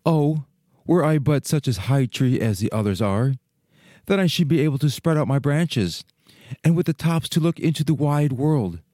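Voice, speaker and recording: A man's voice: fairly high pitch, lively intonation, moderate pace, dark tone, no echo, clean studio sound, natural voice. A man playing a young, discontented fir tree that yearns to grow tall, speaking wistfully in a storybook production. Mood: yearning.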